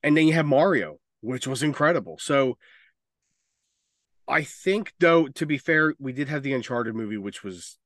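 A man's voice: medium pitch, animated, normal speed, a bit bright, no room sound, studio quality. A man co-hosting a podcast in casual, opinionated discussion, speaking emphatically and swearing freely. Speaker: podcast co-host.

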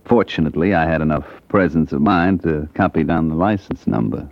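Low-voiced, cocky small-time piano man. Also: fairly quick, no echo. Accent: American. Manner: slangy, glib. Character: cocky small-time jazz pianist turned blackmailer. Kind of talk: 1940s-50s American radio detective drama acting. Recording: dull old radio drama recording.

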